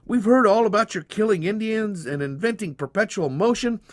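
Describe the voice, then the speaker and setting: A man's voice: sits high, moderate pace, dry recording, studio quality, pitched above the performer's own voice, close to an everyday voice. A man voicing a friendly, admiring young small-town woman with a chatty, folksy manner in a storybook reading. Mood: excited, admiring.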